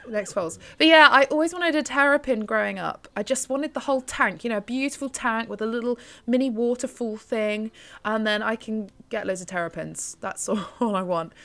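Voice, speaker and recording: British woman, twenties, chatty let's-play commentary, giggly, slightly high voice. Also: fairly bright tone. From United Kingdom. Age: 20s. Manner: chatty, giggly, gushing over cute foals. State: just woken up, voice lower than usual. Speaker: gaming YouTuber.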